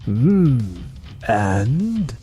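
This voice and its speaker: A man playing a stern, dignified elderly company chairman in an anime-style manga dub, measured and authoritative. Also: medium pitch, sing-song, dark tone, faint music behind.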